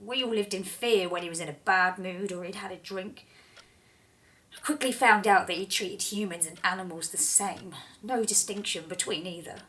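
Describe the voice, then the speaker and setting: Audio drama role: actress as frightened former stable girl, halting, confessional, mid-pitched woman's voice. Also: fairly bright tone, lightly acted, low-quality recording. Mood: ashamed, fearful.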